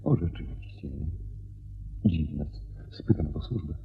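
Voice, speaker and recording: An actor playing a well-bred gentleman client in a radio play, recounting things soberly in a formal, polite and deliberate manner. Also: extremely low pitch, expressive, creaky, soft background music, heavily acted. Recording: muffled radio recording with faint noise.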